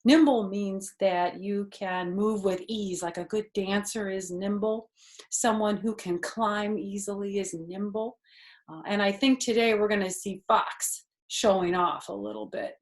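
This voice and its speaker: A woman's voice: mid-range voice, steady tone, measured pace. An older woman hosting a children's storytime, chatting and explaining things simply to young listeners on a video call from a porch. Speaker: storytime host reading a picture book to children. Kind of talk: children's read-aloud and chat with listeners. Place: outdoors on a back porch. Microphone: computer or device on a video call.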